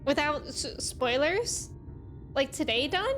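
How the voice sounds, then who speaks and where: A woman's voice: sits high, sing-song, slow, fairly bright tone, soft background music. A woman chatting casually and playfully as she livestreams a video game, reacting and greeting viewers.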